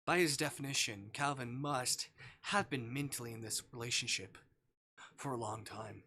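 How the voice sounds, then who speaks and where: Bright-voiced man streaming a visual novel. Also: medium pitch, normal speed, breathy edge. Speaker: livestreamer. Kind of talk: reading a visual novel aloud with casual commentary.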